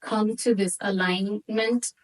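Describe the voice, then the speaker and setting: A young woman from Venezuela speaks as an interview guest, picked up from a little way off the microphone. Her talk is informal, animated storytelling at a middling pitch, sprinkled with Spanish words. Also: slow, far from the mic, low-quality recording. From Venezuela, moved to the United States at eight. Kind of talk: casual conversational storytelling.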